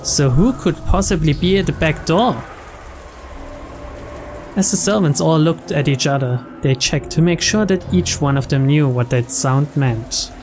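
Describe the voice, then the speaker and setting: A man's voice: fairly high pitch, measured pace, darkish tone, faint music behind. A man reading visual novel narration aloud for a game playthrough. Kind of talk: scripted narration read aloud.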